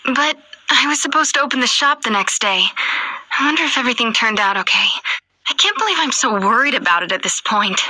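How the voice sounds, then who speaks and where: A woman's voice: fairly high pitch, lively intonation, a little fast, bright, forceful tone, no room sound, exaggerated voice, poor audio. A woman playing an anxious, earnest young former cafe worker in a video game. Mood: worried. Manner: earnest, hesitant, fretful. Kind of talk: scripted video game voice acting.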